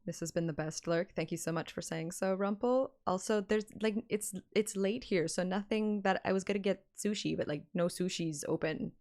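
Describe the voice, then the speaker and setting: A woman's voice: mid-range voice, moderate pace, few pauses. A woman streamer reading a game story aloud like an audiobook. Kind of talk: dramatic narration with casual asides. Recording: loud music behind the voice.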